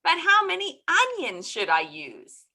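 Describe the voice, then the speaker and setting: Lady doing a flustered folk tale wife, bright sharp voice, always exasperated, swoops around a lot. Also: extremely high pitch, wildly swooping pitch, voice pushed up, heavily acted. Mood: groaning. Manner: exclaiming, moaning with a drawn-out "Oi". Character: frantic, easily exasperated housewife in a folk tale.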